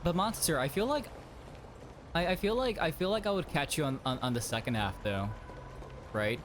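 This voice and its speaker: A man's voice: fairly high pitch, animated, normal speed, noisy background. A man streaming a game, chatting casually and rambling with lots of fillers and restarts. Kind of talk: casual chat while playing.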